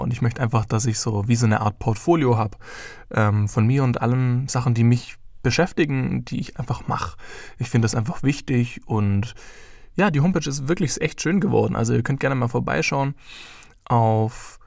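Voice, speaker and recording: A man's voice: medium pitch, creaky, a little mellow, no room sound, studio quality. A young man in his early twenties hosting his own podcast, talking casually and rambling with lots of fillers. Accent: German. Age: young adult. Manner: chatty, rambling, with many fillers. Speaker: podcast host.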